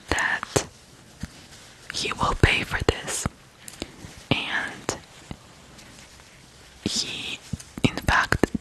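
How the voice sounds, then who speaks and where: An ASMR host from Ukraine, telling stories in a hushed whisper with frequent pauses between short phrases. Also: rough recording. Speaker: ASMR video host and storyteller. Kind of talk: whispered ASMR true crime storytelling.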